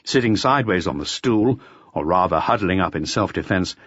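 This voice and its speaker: A man reading a detective novel aloud as an expressive audiobook narrator. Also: low voice, normal speed, breathy edge, no room sound, lo-fi sound.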